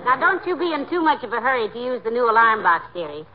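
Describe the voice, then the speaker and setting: An actress playing a sensible, dryly patient and teasing American wife in a 1940s radio comedy, gently correcting and folksy. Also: female voice, fairly high pitch, sing-song, quick pace, over a noisy crowd. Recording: muffled, noisy 1940s radio recording.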